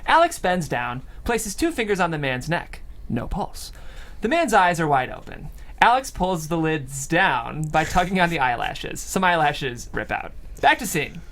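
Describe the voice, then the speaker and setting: A woman's voice: deep voice, big pitch swings, unhurried, a bit bright. A woman reading out stage directions as scripted narration at a comedy table read.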